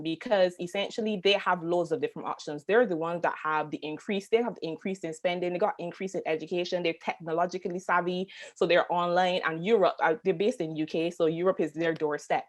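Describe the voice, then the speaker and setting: A woman from Barbados with a Barbadian accent, speaking as a panelist on a video call in an earnest, conversational way with many fillers. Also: low voice. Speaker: panelist, travel marketing agency founder. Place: home, UK.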